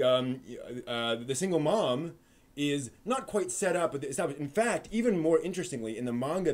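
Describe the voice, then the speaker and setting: A man's voice: a little high, darkish tone. A man hosting a live stream, talking through his thoughts casually with many restarts and fillers. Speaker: host of an anime live stream. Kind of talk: casual discussion answering chat.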